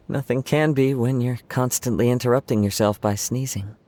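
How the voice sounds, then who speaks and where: An actor voicing a calm young male wandering samurai poet in a game, speaking softly, gently and reflectively. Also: mid-range voice, a little slow, natural voice. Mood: gentle reflective. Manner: soft-spoken, gentle and reflective. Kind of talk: game character voice lines.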